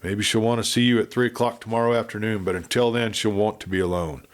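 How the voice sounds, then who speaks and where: A man's voice: fairly low pitch, steady tone, quick pace, lightly acted. Man acting blunt, grim western cowboy.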